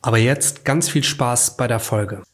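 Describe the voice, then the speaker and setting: A man's voice: mid-range voice, a little slow, a bit bright. A man hosting a podcast, introducing a guest and asking questions with short upbeat affirmations. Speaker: podcast host. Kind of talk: podcast interview.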